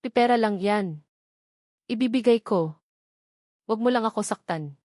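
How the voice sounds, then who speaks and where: A woman's voice: a little high, measured pace, mellow, dry recording, clean studio sound, lightly acted. A woman voicing a frightened, desperate young woman who pleads, in an audio drama story. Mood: terrified pleading.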